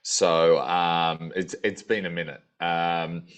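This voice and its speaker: Low-voiced businessman, loose and casual on a panel call. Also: slow pace. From Australia. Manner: relaxed, casual, loose sentences. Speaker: panelist, sales executive. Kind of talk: online panel discussion.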